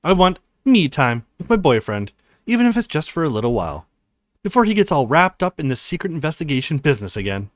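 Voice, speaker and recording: A man's voice: fairly high pitch, sing-song, fast talker, no echo, clean studio sound. A man voicing a bubbly, teasing young college woman, an affectionate girlfriend in a visual novel. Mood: clingy, teasing.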